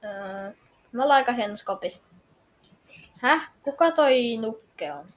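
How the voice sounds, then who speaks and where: A woman's voice: a little high, expressive. A woman role-playing her way through a game in casual, hesitant talk, musing and asking questions. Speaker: gamer playing through a story. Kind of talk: casual improvised role-play commentary.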